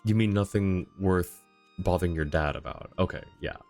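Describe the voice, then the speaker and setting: A man's voice: low-pitched, moderate pace, a little mellow. A man streaming a video game, giving casual commentary and chatting with viewers in a friendly, informal way. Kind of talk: casual chat and live commentary. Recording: game music behind the voice.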